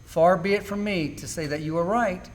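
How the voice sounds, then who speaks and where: A man's voice: high-pitched, normal speed, natural voice. An amateur actor, a man, playing a suffering, bitter but steadfast man in a solemn, declamatory Bible drama reading. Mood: defiant. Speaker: church class amateur actor.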